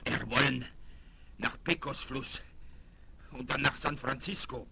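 An actor playing a distraught, devoted servant man in a Western radio play, speaking halting, exclamatory broken German. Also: medium pitch, fairly even intonation, gravelly. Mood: agitated grief. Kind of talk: 1970s radio play acting. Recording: hissy vinyl record transfer.

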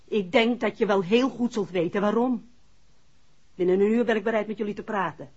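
A woman's voice: mid-range voice, fairly quick, darkish tone, slightly rough, natural voice. An actress with a Netherlands Dutch accent playing an anxious, defiant mother in a 1960s radio play. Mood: defiant, accusing. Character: anxious, defiant working woman, mother of injured man. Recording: muffled, hazy old radio recording.

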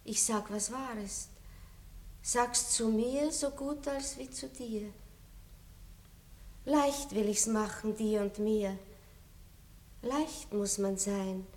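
A wistful aging noblewoman. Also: fairly high pitch. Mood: gently resigned. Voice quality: soft, measured, melancholy. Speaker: Marschallin in radio play. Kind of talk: 1960s radio drama acting.

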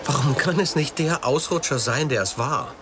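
A man's voice: medium pitch, normal speed, background noise, close to an everyday voice. A man playing a troubled, defensive man of about forty in a radio crime drama, speaking in plaintive, clipped phrases. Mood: rueful, distressed. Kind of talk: naturalistic radio drama dialogue.

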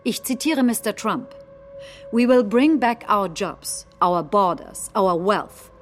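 A woman acting in documentary theatre, delivering nationalist political speeches in a deliberate, declamatory manner. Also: mid-range voice, expressive, faint music behind. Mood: solemn, resolute. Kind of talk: staged reading of political speeches.

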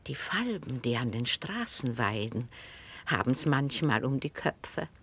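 An actress playing a calm, musing knitter who probes gently and insistently, speaking deliberately and lyrically in a literary radio drama. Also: female voice, rumbling low, husky, full character voice. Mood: musing, dreamy. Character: calm, musing, probing listener absorbed in knitting.

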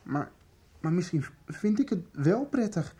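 Husky, torn teenager. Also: female voice, on the low side, very animated, measured pace, dark tone, full character voice. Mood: pleading, frustrated. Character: Joris, confused, emotional, torn teenager. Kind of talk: Dutch radio soap acting.

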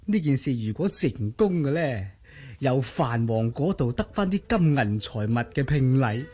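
A male actor plays a scheming family ringleader in a Cantonese radio drama. His voice is husky and swings widely in pitch. He insinuates and coaxes, sly and ruthless. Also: expressive, normal speed, mellow. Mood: smug, ruthless.

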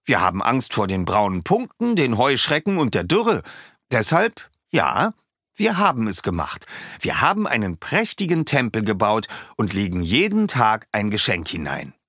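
A man voicing an earnest, anxious young villager who explains things eagerly, with a husky voice. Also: fairly low pitch, wildly swooping pitch, moderate pace, fairly bright tone, no room sound, clean studio sound, pitched above the performer's own voice, full character voice.

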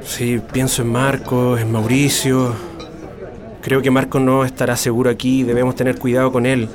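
A man's voice: medium pitch, flat delivery, crowd noise, lightly acted. Hesitant middle-aged Chilean man, played by an actor. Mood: pensive, wary. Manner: hesitant, halting, wistful. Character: uneasy middle-aged Chilean father back from exile. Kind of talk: radio drama acting.

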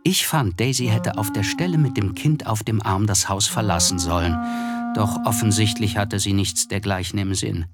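An actor playing a reserved, observant young man who narrates his memories in a measured, literary radio play style. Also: on the low side, lightly acted. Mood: reflective. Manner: measured, reflective, hesitant in dialogue. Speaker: narrator and character. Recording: studio radio play with music. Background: music.

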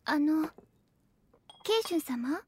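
A modest young wife in an audio drama, with a high, girlish voice. She speaks politely and hesitantly, innocent and sweet. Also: very slow, a little mellow, slight background noise. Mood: polite, curious. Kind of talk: Japanese audio drama acting.

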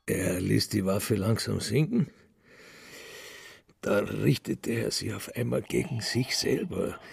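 An actor playing a distraught, bitter elderly father in a radio crime drama, halting and dropping into whispers. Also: lowish voice, no echo, exaggerated voice. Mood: grieving, stunned. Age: older. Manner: halting, breathy drops into whispering. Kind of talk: radio crime drama acting, monologue and dialogue.

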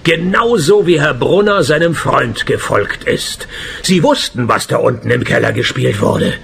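Wry, gravelly private detective. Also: male voice, a little high, measured pace, a little hiss or hum, full character voice. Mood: accusing. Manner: laconic, sardonic, deadpan. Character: wry, world-weary private detective narrating his cases. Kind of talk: German-language detective radio play, first-person narration and dialogue.

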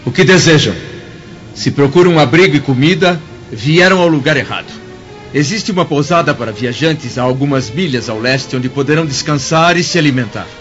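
A man's voice: a little high, moderate pace, a bit bright, close to an everyday voice. A Brazilian man playing a proud, wary Roman senator in a radio drama, formal and commanding. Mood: suspicious, curt. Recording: muffled audio with music behind.

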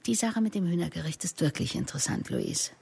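A woman's voice: lowish voice, fast talker, creaky, mellow, slightly rough, full character voice. An actress playing a dogged, skeptical female police investigator in a radio drama, questioning in a probing, pointed way. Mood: confrontational.

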